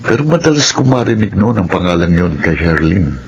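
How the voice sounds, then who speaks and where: An actor playing a gentle, trusting suitor in a Tagalog melodrama, speaking earnestly. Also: male voice, low voice, big pitch swings, fairly quick, muffled recording, a little hiss or hum. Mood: puzzled. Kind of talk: melodramatic radio drama acting in Tagalog.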